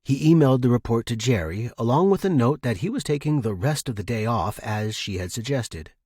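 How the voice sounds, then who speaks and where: A man's voice: mid-range voice, animated, a little fast, a little mellow, husky, no echo, clean studio sound. A man narrating scripted fiction dramatically as a podcast host and storyteller.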